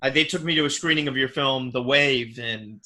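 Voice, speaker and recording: A man in his thirties hosting a casual podcast interview, relaxed and friendly with frequent "yeah" and "you know". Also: medium pitch, normal speed, a crowd in the background. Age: 30s. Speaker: podcast host.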